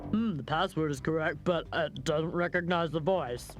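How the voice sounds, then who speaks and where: A man's voice: on the high side, normal speed, faint music behind, close to an everyday voice. A man voicing a sardonic, dim mouse doorman in a cartoon gang, with a mocking, grumbling way of talking. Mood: suspicious. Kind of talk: cartoon dub acting.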